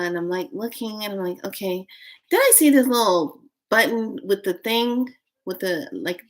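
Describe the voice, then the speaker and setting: A woman in her late fifties chatting casually to viewers on a live stream, rambling through a story. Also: medium pitch. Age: 50s. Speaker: vlogger.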